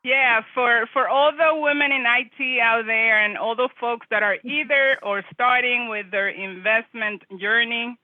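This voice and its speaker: A woman's voice: a little high, fairly even intonation, unhurried, few pauses, no echo. Chatty woman guest over a tinny call. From Dominican Republic. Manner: chatty, laughing, many restarts. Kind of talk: casual live-stream interview. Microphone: video call. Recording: tinny phone-like call audio.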